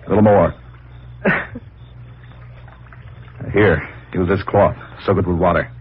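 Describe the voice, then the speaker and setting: An actor playing a stern, exasperated farm boss with a husky, gruff delivery in an old-time radio thriller, on a tinny vintage recording. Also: male voice, low voice, fairly even intonation, a little fast, slight background noise, close to an everyday voice. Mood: firm, steadying. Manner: gruff, commanding.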